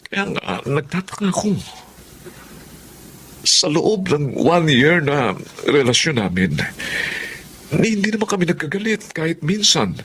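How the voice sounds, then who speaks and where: Earnest, troubled young boyfriend, expressive. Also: male voice, a little high, a little slow, long pauses, slight background noise. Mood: worried. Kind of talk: radio drama acting.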